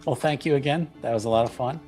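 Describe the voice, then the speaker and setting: A man's voice: mid-range voice, quick pace, a little mellow, soft background music. A man chatting casually as player and host of a roleplaying livestream, with hesitant, stop-and-start phrasing. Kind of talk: casual livestream game chat.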